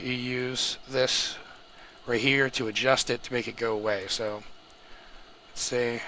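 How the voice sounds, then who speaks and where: A man talking viewers through a software setup step by step in an informal, explanatory way. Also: mid-range voice. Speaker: host of a tech tutorial. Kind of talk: casual step-by-step screen tutorial. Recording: clearly noisy home microphone recording.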